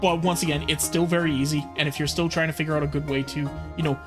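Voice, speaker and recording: A host reacting to an art video and chatting casually about drawing techniques, with relaxed, rambling delivery and plenty of fillers. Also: mid-range voice, fast. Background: background music.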